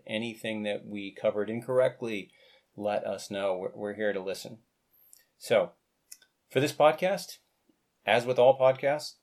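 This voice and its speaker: An American man hosting a podcast interview over a video call, speaking in an earnest, measured way. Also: on the low side, no room sound, clean studio sound. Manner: earnest, measured, speaks slowly when reading out numbers. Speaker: podcast host.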